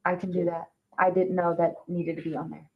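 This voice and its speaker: A woman's voice: on the low side, level tone, brisk. A woman on a meeting's staff, informally explaining procedure. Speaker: meeting staff member who prepares the packet. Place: indoor town board meeting room. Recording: muffled meeting recording.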